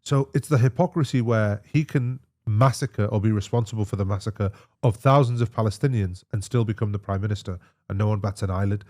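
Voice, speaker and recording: Low-pitched man chatting casually. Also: fairly quick, hardly any pauses, darkish tone. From Australia. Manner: informal, matey, repeats himself. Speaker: interview guest, emergency physician. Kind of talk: casual conversation.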